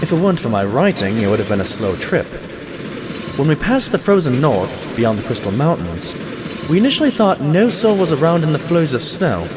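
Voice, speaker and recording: A man playing a loyal pony doctor, telling his adventures as scripted, gentlemanly narration in an audio drama. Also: fairly high pitch, very animated, a little fast, noisy background. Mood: reflective, wondering. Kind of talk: scripted first-person narration.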